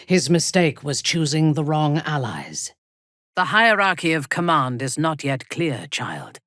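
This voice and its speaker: An actress playing a ruthless, haughty dark elf warrior in a video game, cold, commanding and menacing in her measured delivery. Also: low voice, very animated, dry recording, studio quality, full character voice. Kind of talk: video game character voice acting.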